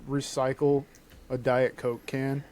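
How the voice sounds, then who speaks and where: A man's voice: mid-range voice, flat delivery, slow, darkish tone. A man co-hosting a podcast in an informal, conversational way. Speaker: podcast co-host. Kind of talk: casual chat and reading posts aloud.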